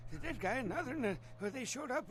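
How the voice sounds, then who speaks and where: Very high-pitched, panicky squatter. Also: male voice, animated, racing, a bit bright, slight background noise, full character voice. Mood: frightened. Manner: stammering, panicky, uneducated street speech. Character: terrified, jittery male squatter who witnessed a murder. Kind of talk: video game voice acting.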